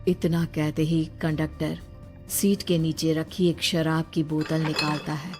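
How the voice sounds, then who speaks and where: A woman's voice: low voice, level tone, unhurried, darkish tone. A woman narrator reading a scripted horror story in a storytelling style. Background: music.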